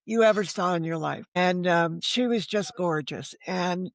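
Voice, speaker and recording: A woman's voice: fairly low pitch, measured pace, no echo, studio quality. An older woman from the United States telling personal stories in conversation, candid and self-deprecating, with rhetorical asides. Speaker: novelist, interview guest. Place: remote video call.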